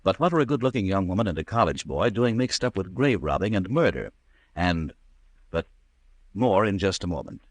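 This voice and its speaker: Mystery show announcer: very low voice, lively swoops, dry studio, teasing vintage radio delivery. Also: male voice, moderate pace, no echo. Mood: intrigued. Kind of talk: old-time radio scripted narration.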